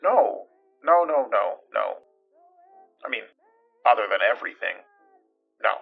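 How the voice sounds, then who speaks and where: A man acts out a caring confidant in a fiction podcast, tactful and protective. He talks softly around things in a hesitant, reassuring, natural manner. The recording is slightly muffled, with faint music under it. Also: fairly low pitch, huge pitch swings, a little slow, creaky, slightly rough, full character voice. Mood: reassuring, evasive. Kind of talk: naturalistic audio drama acting.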